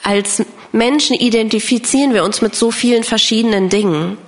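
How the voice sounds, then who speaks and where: A woman's voice: fairly high pitch, normal speed, slight background noise. A woman interpreting a sermon live, phrase by phrase.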